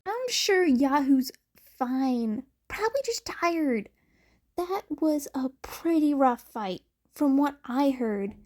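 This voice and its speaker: A woman's voice: high voice, expressive, takes it slowly, darkish tone. A woman voicing an affectionate, reassuring adult man in a story reading, with an easygoing manner.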